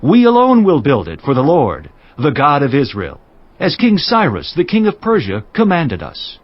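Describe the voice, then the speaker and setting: A defiant ancient leader, forceful and declamatory. His husky voice is raised somewhat high and swings very dramatically in pitch. The audio is slightly dull. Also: huge pitch swings, normal speed, no room sound. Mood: defiant, proud. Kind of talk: dramatized scripture reading.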